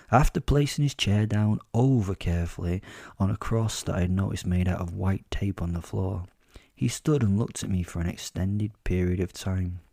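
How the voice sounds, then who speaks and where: A man narrating a first-person dramatic story as a wry, boastful werewolf, in a measured way with dramatic pauses. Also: fairly low pitch, vocal fry, warm tone, no room sound. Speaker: narrator and host of a fiction podcast.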